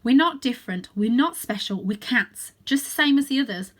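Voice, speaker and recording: A woman's voice: a little high, animated, moderate pace, a bit bright, voice pushed up. A woman voicing a young male cat hero from a storybook, earnest and anxious but determined, speaking with urgent, emphatic questions.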